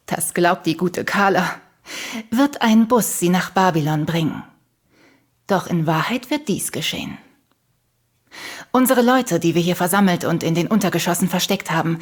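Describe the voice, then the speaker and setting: An actress playing a cold, haughty conspirator in a radio drama, with a condescending, cool manner. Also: female voice, close to an everyday voice. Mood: proudly gloating. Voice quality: husky, low. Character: cold, smug female conspirator and killer, haughtily superior.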